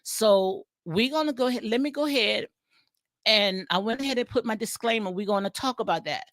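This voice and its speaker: A woman's voice: medium pitch, dry recording, studio quality. A woman with a Southern US accent giving rambling casual commentary on a reality show during a livestream, full of fillers, restarts and repeated phrases. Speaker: commentary vlogger.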